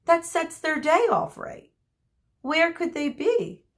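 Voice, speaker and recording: A woman's voice: extremely high pitch, very animated, slow pace, voice pushed up, exaggerated voice. A woman voicing a puzzled, earnest young centaur boy in a children's storybook.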